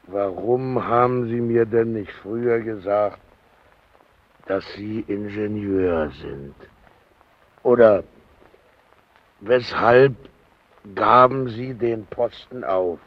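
An actor playing a gruff, suspicious, domineering man in a German radio drama, halting and insistent, on a dull recording. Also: lowish voice. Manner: halting, searching for words, insistent.

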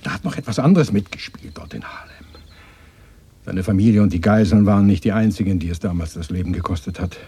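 An actor in a radio drama playing a bitter, probing former resistance fighter with a sardonic, interrogating manner. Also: male voice, deep voice, quick pace, dark tone, breathy edge, full character voice. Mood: grave, insistent. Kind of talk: 1980s German radio drama dialogue.

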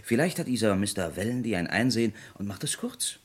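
An actor playing a polite, persistent lawyer in an old radio crime play, talking in a measured, dry way. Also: male voice, on the low side, lively intonation, breathy edge. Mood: puzzled. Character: puzzled male lawyer, middle-aged, polite, persistent. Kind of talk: 1950s-80s radio drama acting.

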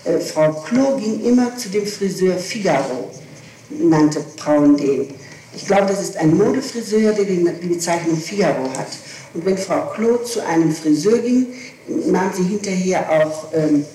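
A woman with a soft, dark voice gives a detailed statement under questioning. She insists on particulars and halts to correct herself. The old tape is heavily echoey, with noise running underneath. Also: fairly low pitch, animated, unhurried. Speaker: suspect being questioned. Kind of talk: recounting events under interrogation. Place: echoing room, archival tape recording.

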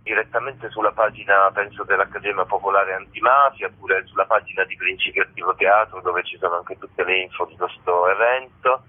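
Low-voiced man on a phone line. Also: fairly even intonation, fairly quick, few pauses, breathy edge. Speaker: stage actor interviewed about a show. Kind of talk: phone interview. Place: on a phone call to a radio station. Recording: muffled narrow telephone line.

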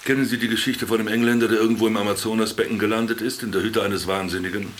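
Low-voiced, wry actor as a stranded cynic Philipp. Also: male voice, fairly even intonation, fast talker, fairly bright tone, a little hiss or hum, lightly acted. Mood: storytelling. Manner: ironic, patronizing, addresses the other formally. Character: Philipp, stranded ex-businessman, wry, garrulous, cynical camp companion. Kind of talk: radio drama dialogue.

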